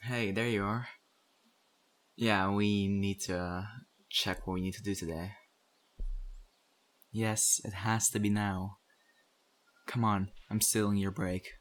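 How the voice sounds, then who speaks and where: A man acting as a weary survivor in a zombie-apocalypse audio roleplay, talking conversationally and straight to the listener as a community right-hand man. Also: fairly low pitch. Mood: teasing exasperation. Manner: conversational, urging, mildly exasperated.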